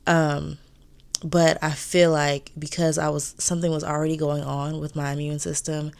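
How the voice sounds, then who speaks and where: Low-voiced congested young woman vlogging. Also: little pitch movement, normal speed, gravelly, clear, resonant tone. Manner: chatty, rambling, repeats herself. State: congested, stuffy nose. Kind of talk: casual vlog chat.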